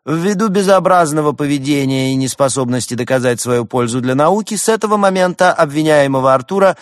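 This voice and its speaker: A man's voice: fairly high pitch, animated, fairly quick, no echo, studio quality, voice pushed up, natural voice. Man as a pompous declaiming university dean, raised voice. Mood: solemn, loud. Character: pompous, stern male university dean presiding over hearing.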